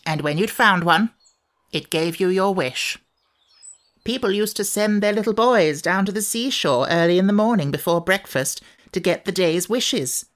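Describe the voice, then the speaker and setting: A woman's voice: mid-range voice, a bit bright, close to an everyday voice. A peevish, ancient sand fairy from a children's storybook, played by a woman. The creature is fussy and sorry for itself, spinning out old memories in a gloomy, grumbling manner. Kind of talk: children's audiobook character voice.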